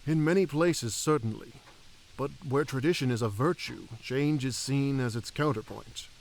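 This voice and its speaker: A man playing a humble, troubled elf king and father in a fantasy audio drama, reflective and gently formal. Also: medium pitch, normal speed, gravelly, a little hiss or hum, close to an everyday voice, poor audio.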